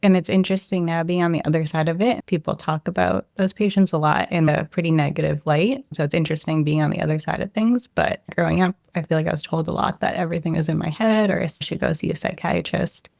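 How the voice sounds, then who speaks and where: A young woman, a medical resident, answering interview questions in a soft, reflective way, often hedging with "I think" and "like.". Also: low-pitched, fast talker, vocal fry, hardly any pauses, dry recording, studio quality. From Israel, raised near Boston.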